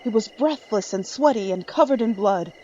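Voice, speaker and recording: A woman's voice: mid-range voice, lively intonation, moderate pace, darkish tone, muffled recording, a little hiss or hum. A woman reading scripted dramatic narration for a horror story.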